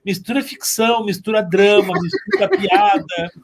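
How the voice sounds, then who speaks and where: A man's voice: high voice, normal speed, a little hiss or hum. A middle-aged man, chatting casually as a guest in an emphatic, joking, exclamatory manner. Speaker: podcast guest, school teacher. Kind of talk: casual animated chat.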